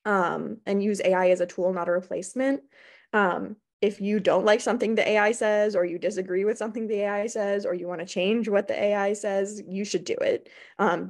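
A young woman with an American accent presents like a librarian talking through slides. Her voice sits at a medium pitch. She explains things informally and hesitates with ums, speaking into a computer microphone on a video call. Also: darkish tone. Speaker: academic librarian presenting at a conference. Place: small indoor room on a video call.

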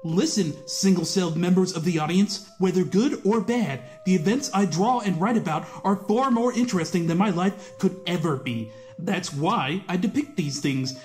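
A man's voice: sits high, darkish tone. A man voicing a skinny, gloomy young cartoonist who narrates with sardonic, theatrical mock-grandeur. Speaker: narrator and lead.

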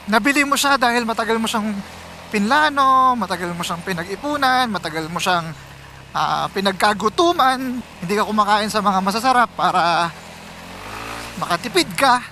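A Filipino man talks casually to his viewers while riding. His voice sits high and has a bright, sharp edge. He drops English phrases into his conversational flow, with some road noise behind him. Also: moderate pace. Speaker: motorcycle vlogger. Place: outdoors, riding a motorcycle on city roads.

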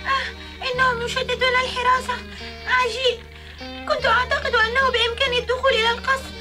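Squeaky, fast talking bird. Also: female voice, flat delivery. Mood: fretful, curious. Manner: squeaky, rapid chatter. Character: small chattering, fretful talking bird. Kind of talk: dubbed cartoon acting. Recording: loud music under the voice.

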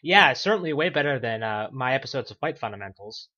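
A podcast co-host talks casually and hesitantly, with many fillers. The voice is bright, and the recording sounds dull and lo-fi. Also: mid-range voice, animated, quick pace. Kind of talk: casual chat.

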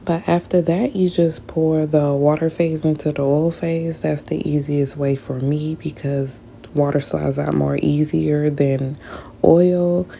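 A woman's voice: low voice, steady tone, unhurried, vocal fry, warm tone, soft background music. A woman, narrating a skincare tutorial in a casual, explanatory voice-over, sounding stuffy as she gets over an illness. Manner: casual, explanatory, addresses viewers directly.

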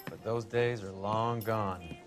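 An actor playing a cynical, brash 1940s American vice detective in a video game, talking in worldly slang. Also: male voice, lowish voice, slow pace. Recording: music behind the voice.

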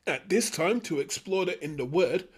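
A man hosting a Bible study, teaching from a script in measured, emphatic phrases. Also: fairly high pitch, expressive, slightly rough. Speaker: host of a Bible study show.

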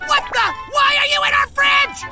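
An actor playing an uptight, anxious imp employee with a prim, exasperated, sarcastic manner in an adult cartoon. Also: male voice, very high voice, sing-song, measured pace, loud music behind the voice, full character voice. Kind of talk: adult animated comedy acting.

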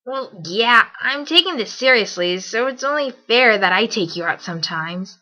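Bright, brash tomboy pegasus played by a woman. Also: fairly high pitch, expressive, moderate pace. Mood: playful, sincere. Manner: casual, bold. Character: brash, cocky, loyal tomboy female pegasus pony. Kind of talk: amateur audio drama acting.

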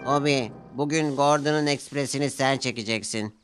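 A man's voice: medium pitch, moderate pace, over music, voice pushed up, lightly acted. A man voicing an eager, self-important little male engine who gives orders proudly in a cartoon. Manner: proud, bossy.

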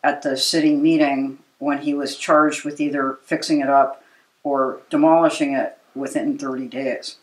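A woman's voice: very deep voice, fairly even intonation, normal speed. Older American woman in an echoey room. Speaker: local historian and preservation advocate interviewee. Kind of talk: conversational interview. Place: living room with books, indoors. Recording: roomy, echoey living room.

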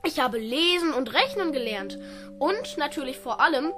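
High-voiced, earnest little boy, acted by a woman. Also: animated, moderate pace, over music. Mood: solemn, troubled. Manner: precise, polite, formal diction. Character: earnest, well-mannered, dutiful seven-year-old boy. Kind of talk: radio play acting.

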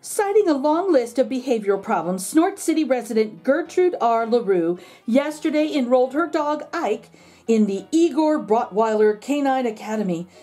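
A woman's voice: a little high, animated, moderate pace, mellow, soft background music. A woman hosting a children's read-aloud, narrating expressively and adding comments on the book. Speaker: storybook read-aloud host.

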